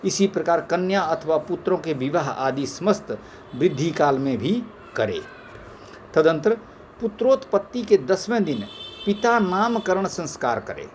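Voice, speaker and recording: A man's voice: a little high, big pitch swings, measured pace, a little hiss or hum. A man reading scripture aloud in a formal way, phrase by phrase, in an amateur recording. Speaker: reader of scripture.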